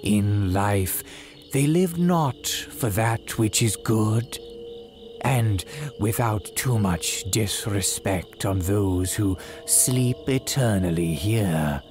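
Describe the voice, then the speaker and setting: Slow, deep old graveyard priest. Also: male voice, lively intonation, darkish tone, soft background music. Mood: grave, foreboding. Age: older. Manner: archaic, solemn, drawn-out dramatic delivery. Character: very old priest tending a haunted graveyard, sinister yet humble. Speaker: horror storyteller. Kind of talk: theatrical old-time radio horror monologue.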